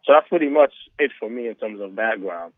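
A man's voice: on the low side, expressive, a little fast, no room sound. A middle-aged man from Haiti, a documentary filmmaker, guesting on a radio show by phone and talking in long rambling runs full of "you know" and "right". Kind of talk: radio interview over the phone. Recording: tinny phone line.

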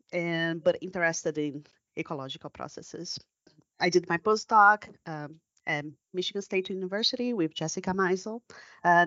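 A woman's voice: fairly low pitch, a bit bright, no echo. Brazilian lady scientist chatting on a podcast, feels for her words a bit, dry lo-fi audio. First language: Brazilian Portuguese. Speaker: guest scientist. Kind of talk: conversational podcast interview.

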